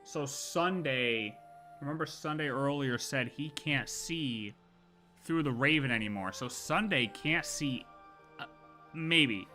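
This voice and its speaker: A man's voice: mid-range voice, slow pace, bright, forceful tone, soft background music. A man streaming a game with casual live reaction commentary, informally thinking aloud.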